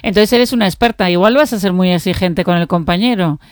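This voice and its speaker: A woman's voice: on the low side, brisk, clean tone, no room sound, studio quality. A woman hosting a conversational interview in an encouraging, reassuring manner. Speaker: interviewer, host.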